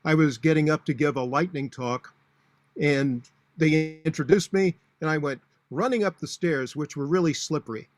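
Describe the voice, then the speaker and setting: A man in his sixties with a booming voice, giving practical, matter-of-fact advice on public speaking with frequent fillers. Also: moderate pace. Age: 60s. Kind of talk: instructional talk.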